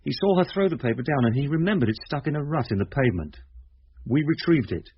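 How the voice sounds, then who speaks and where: A husky British detective inspector, calm and methodical. He speaks with measured authority in radio drama style, on a dull old recording. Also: mid-range voice. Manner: measured, authoritative, matter-of-fact.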